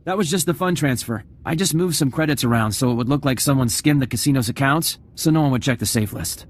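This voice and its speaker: A man's voice: medium pitch, quick pace, lightly acted. A man voicing a nervous, eager computer slicer in a video game, flustered and stammering as he mutters to himself.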